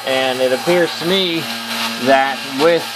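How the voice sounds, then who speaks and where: A man's voice: medium pitch, slow pace. A man in his thirties filming himself on a phone in a small cluttered workshop, chatting casually with lots of ums and the odd swear. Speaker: maker vlogger. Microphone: phone held at arm's length. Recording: noisy phone video with loud tool hum. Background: loud machine and tool noise.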